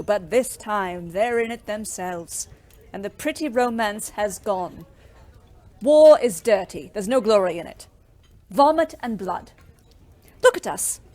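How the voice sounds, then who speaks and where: An actress playing a bitter, outspoken young ambulance driver in a wartime audio play, scathing and emphatic. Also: female voice, medium pitch, natural voice. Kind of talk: audio drama acting.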